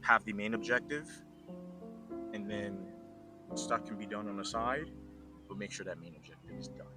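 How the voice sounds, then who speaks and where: Low-voiced guy being earnest about his outlook, big guitar music over him. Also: normal speed. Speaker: vlog host. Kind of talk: casual chat.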